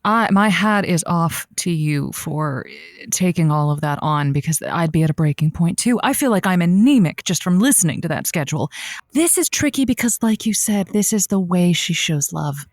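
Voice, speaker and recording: A woman's voice: fairly low pitch, lively intonation, normal speed, no room sound, studio quality. A woman playing a sympathetic, flirty late-night radio advice host who soothes and coaxes her callers with pet names in a loose improvised style. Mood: coaxing, advising. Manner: soothing, empathetic, coaxing, uses pet names. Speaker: radio call-in show host. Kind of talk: improvised comedic advice-show hosting.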